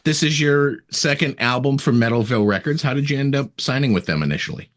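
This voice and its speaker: A man's voice: medium pitch, fast talker. Male podcast interviewer, conversational.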